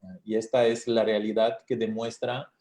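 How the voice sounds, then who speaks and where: A man from Switzerland whose first language is not Spanish, a coach speaking as a radio interview guest with frequent pauses and fillers. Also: lowish voice, level tone, dark tone. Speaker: guest, brain-training coach.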